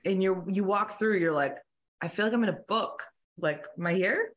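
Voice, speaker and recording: A woman hosts a podcast in a casual, enthusiastic style. Her voice is medium in pitch. She talks effusively, dropping "like" and "you know" throughout. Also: normal speed. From moved from Italy to the United States as a young child. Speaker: podcast host.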